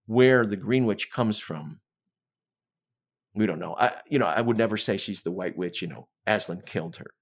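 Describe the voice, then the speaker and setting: A man with a fairly low voice talks about books as an interview guest. He is conversational and emphatic, punctuating his points with little questions. He slips into imagined dialogue to explain what he means. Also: normal speed. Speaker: guest author on a podcast. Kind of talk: animated literary discussion in conversation.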